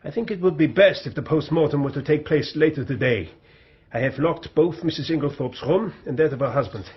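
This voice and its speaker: An actor playing a grave, authoritative doctor, formal and commanding, in a radio drama. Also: male voice, on the high side, brisk, husky, dull audio. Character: grave, authoritative foreign doctor, a poisons specialist.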